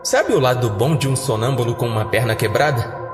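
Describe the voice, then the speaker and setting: Narrador brasileiro de terror, música ao fundo. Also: male voice, medium pitch, brisk, over music. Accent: Brazilian. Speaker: horror podcast narrator. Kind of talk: scripted first-person horror story narration.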